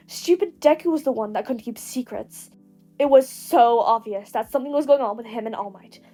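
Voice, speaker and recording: High-voiced woman narrating fiction. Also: warm tone. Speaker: podfic narrator. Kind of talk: expressive scripted fiction narration.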